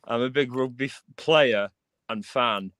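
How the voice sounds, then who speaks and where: A man's voice: mid-range voice, a little slow, fairly bright tone, no room sound. A man with a Lancashire accent from the north of England, a guest musician chatting casually on a livestream, telling stories with quoted asides. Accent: Northern English, Lancashire.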